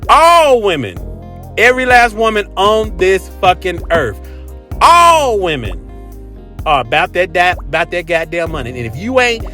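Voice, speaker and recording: A man's voice: high-pitched, big pitch swings, unhurried, sharp tone, faint music behind. An American man hosting a podcast, giving a casual, opinionated monologue in an emphatic, repetitive, slangy way. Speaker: podcast host.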